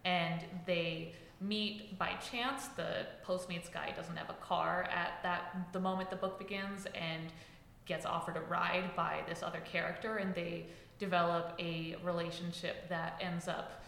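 A woman guest on a podcast, an author chatting casually about her work with a loud, talkative delivery. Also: fairly low pitch, level tone, measured pace, gravelly, roomy sound, a little hiss or hum. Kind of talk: casual interview chat.

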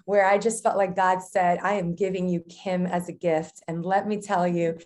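A woman's voice: fairly low pitch, level tone, moderate pace, a little mellow. A woman in her forties from New Jersey, a guest pastor chatting casually on a podcast, bubbly and laughing often as she speaks with faith-filled enthusiasm. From New Jersey, USA. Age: 40s.

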